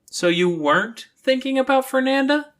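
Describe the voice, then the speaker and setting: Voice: sits high, animated, moderate pace, fairly bright tone, pitched above the performer's own voice. A narrator voicing a teasing, moody and blunt teenage girl in an audiobook. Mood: teasing, gleeful.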